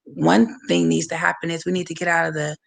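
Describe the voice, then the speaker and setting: A woman from San Francisco with a hoarse voice, a boxer speaking candidly and passionately as a guest on a livestreamed interview over a video call. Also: on the low side, level tone, brisk. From San Francisco, USA. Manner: candid, passionate, talks straight to viewers.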